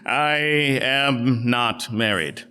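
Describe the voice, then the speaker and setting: Ponderously slow, pompous governor. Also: male voice, mid-range voice, sharp tone, smooth. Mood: self-important. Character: slow, self-important small-town governor in a farce.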